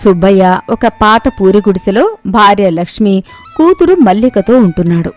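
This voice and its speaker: A woman's voice: medium pitch, lively intonation, normal speed, soft background music. A woman reading scripted narration of a moral tale as a storyteller.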